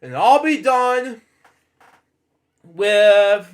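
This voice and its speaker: A man hosting a read-aloud of children's stories in a casual, halting, rambling way with off-the-cuff asides. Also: high-pitched, animated. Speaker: host reading bedtime stories.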